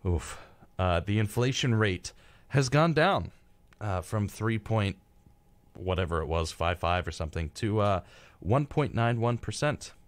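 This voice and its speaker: A man's voice: on the low side, lively intonation, measured pace, no echo. A man co-hosting a live radio show in a casual, chatty, rambling way, tossing in wry reactions, close on a studio microphone.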